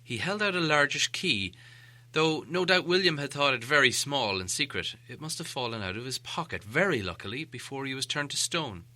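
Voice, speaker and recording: A man narrating an audiobook tale in an expressive, scripted storytelling style. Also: medium pitch, normal speed.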